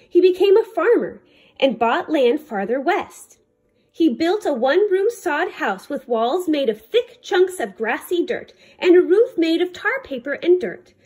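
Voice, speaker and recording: Lady reading a kids book out loud, really high voice, very up and down, careful with the words. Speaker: librarian reading aloud. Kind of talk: read-aloud children's picture book narration.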